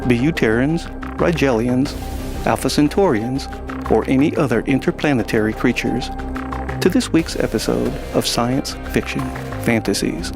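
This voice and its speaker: A man's voice: medium pitch, normal speed, gravelly. A man hosting a science fiction story show, delivering a scripted introduction like a theatrical storyteller. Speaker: host. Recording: music under the voice.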